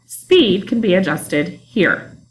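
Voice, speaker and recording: A woman teacher narrating a calm instructional screencast about online testing. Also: medium pitch, slow pace, low-quality recording. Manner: calm, explanatory.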